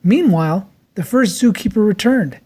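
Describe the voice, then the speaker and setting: A storyteller reads a picture book aloud for children. The voice sits at a middle pitch, and the delivery is expressive and theatrical, made for storytime. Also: normal speed, slightly rough. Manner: expressive, acts out character lines.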